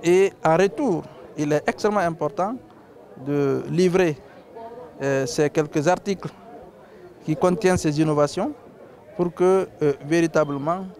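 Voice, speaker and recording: Measured man giving a public speech. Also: fairly high pitch, darkish tone, some chatter behind. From Guinea. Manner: measured, with frequent hesitations. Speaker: legislator on a tour.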